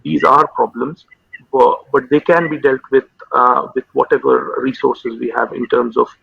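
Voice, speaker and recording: A man in his thirties, a psychiatrist whose first language is Urdu, speaking haltingly with many fillers and repeated words in an interview over a video call. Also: medium pitch, fairly even intonation, gravelly, husky, dry recording. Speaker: consultant psychiatrist guest. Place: home room with window. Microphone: video call webcam. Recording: dull lo-fi video call audio.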